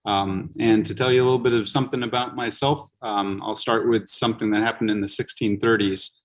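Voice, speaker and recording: A man who is a scientist introducing himself in a relaxed way, with many ums and uhs. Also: fairly low pitch, a little fast. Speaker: scientist guest. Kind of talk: casual self-introduction.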